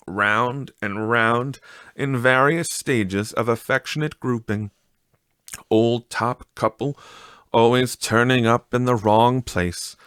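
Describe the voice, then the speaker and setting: Slow-paced man narrating a classic story. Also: medium pitch, no room sound, studio quality. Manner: measured, expressive phrasing. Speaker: audiobook narrator.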